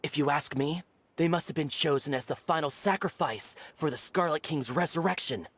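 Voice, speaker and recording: An actor voicing a fervent, superstitious, easily cowed desert villager in a video game. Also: male voice, fairly high pitch, moderate pace, fairly bright tone, breathy edge. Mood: conspiratorial, fervent.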